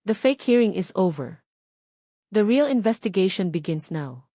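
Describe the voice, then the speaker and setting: A Filipino woman voicing a calm, cold, commanding male hospital owner in a story, firm and measured. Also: mid-range voice, no echo, clean studio sound.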